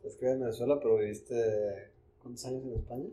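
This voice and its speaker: A man's voice: mid-range voice, fairly even intonation, fast talker, dark tone. A man with a Mexican accent hosting a casual podcast, laid-back and peppering everything with slang fillers. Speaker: podcast host. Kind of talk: casual conversation.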